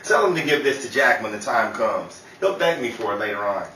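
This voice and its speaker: A man's voice: on the low side, very animated, fairly quick, sharp tone. A man playing a nonchalant 1930s showman in old-time radio comedy style. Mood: casual, knowing. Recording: roomy, distant, noisy radio-play recording.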